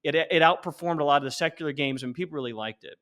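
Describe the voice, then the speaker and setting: Guy in his 40s, kinda higher voice, earnest interview guest pitching stuff, restarts a lot. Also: quick pace, fairly bright tone. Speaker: interview guest, company founder.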